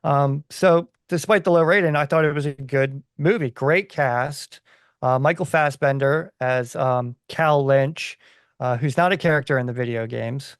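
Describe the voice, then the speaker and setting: A man co-hosting a podcast in casual discussion, explaining his opinions in a halting, conversational way. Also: mid-range voice, clear, resonant tone, no echo, clean studio sound. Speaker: podcast co-host.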